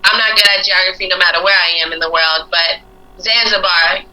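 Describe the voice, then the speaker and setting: A woman with a very bright, sharp voice chats on a live stream about her investing. She talks loosely in casual slang, laughing easily. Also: fairly low pitch, steady tone, a little fast, poor audio. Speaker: guest real estate investor. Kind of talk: casual chat.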